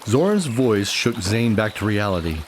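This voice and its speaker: A man's voice: on the low side, sing-song, normal speed, a little hiss or hum. A man narrating a scripted fantasy radio drama as its storyteller, with music underneath.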